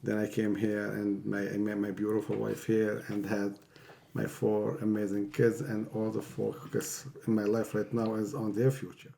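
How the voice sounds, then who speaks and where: Man, 50s, deep, monotone, mellow, hesitant. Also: normal speed, creaky, a little hiss or hum, poor audio. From Lebanon. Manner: hesitant, searches for words. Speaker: father of four. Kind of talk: casual family conversation.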